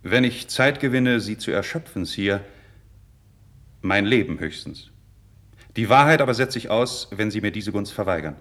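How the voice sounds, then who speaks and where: A man's voice: lowish voice, expressive, normal speed, a bit bright. An actor playing an idealistic, proud young nobleman in a classical radio drama, with earnest, declamatory, formal diction. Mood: proud, resolute. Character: idealistic young nobleman, passionate, proud, candid before his king. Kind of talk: 1950s German radio drama, classical verse acting.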